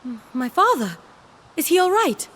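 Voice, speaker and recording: A woman's voice: extremely high pitch, very animated, normal speed, fairly bright tone, full character voice. A woman playing an earnest, devout and brave young woman in a video game, speaking politely and formally with some hesitancy. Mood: anxious.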